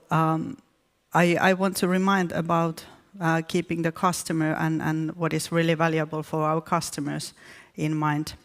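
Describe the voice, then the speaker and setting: Finnish woman with a flat, gravelly voice. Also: deep voice. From Finland. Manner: hesitant, with frequent restarts and fillers. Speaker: keynote speaker. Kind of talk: conference presentation. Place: conference hall. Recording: reverberant conference hall.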